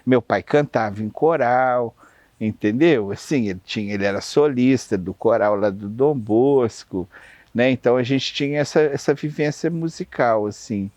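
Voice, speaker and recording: A man's voice: mid-range voice, moderate pace, darkish tone, dry recording. A man in an interview reflecting on the role of music in his family, checking in with tag questions.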